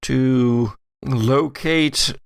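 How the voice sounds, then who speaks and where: A man voicing a hesitant, musing tattooed occultist in a tabletop audio drama, halting as he thinks aloud. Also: medium pitch, gravelly, clean tone, no room sound, studio quality. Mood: musing, unsure.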